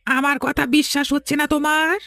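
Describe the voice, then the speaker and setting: An eerie village child from a horror cartoon acted by a woman. The voice is piercingly high and creaky, with a bright, sharp edge and an insistent, hungry manner. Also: flat delivery, a little fast, dry recording, heavily acted. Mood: eerie, luring. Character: village child possessed by an eerie craving for living meat.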